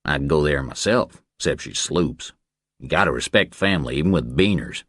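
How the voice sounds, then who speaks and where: A man voicing a cold, boastful Texas ranch hand in an audiobook, speaking with slow folksy menace. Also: very deep voice, animated, fairly bright tone, no echo, studio quality, exaggerated voice. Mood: menacing boast.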